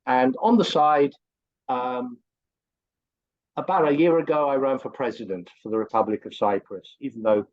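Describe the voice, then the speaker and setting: An older man with an English accent, chatting at length as a course co-instructor, his voice swinging up high as he talks. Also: normal speed. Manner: chatty and rambling, with big upward swings in pitch. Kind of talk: promotional video-call chat. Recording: dull lo-fi call audio.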